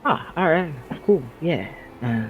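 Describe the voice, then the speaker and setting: A man's voice: fairly high pitch, lively intonation, slow, narrow, tinny audio, a little hiss or hum. A man playing an awkward, earnest young sidekick in a tabletop audio drama, hesitant and rambling as he talks. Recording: music behind the voice.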